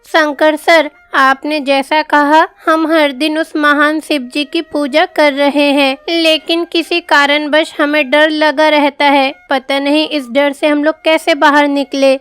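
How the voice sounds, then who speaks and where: A woman's voice: high voice, little pitch movement, slow pace, few pauses, fairly bright tone. A woman voicing an earnest, respectful, anxious schoolchild in a storybook tale. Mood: worried. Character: earnest, respectful, anxious schoolchildren seeking their teacher's guidance.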